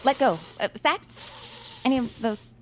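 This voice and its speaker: An actress playing a nervous, apologetic, awkward female novice radio host who stammers and giggles through halting speech in a comedy audio drama. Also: a little high, slight background noise, natural voice. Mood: nervously smug. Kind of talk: audio fiction comedy acting.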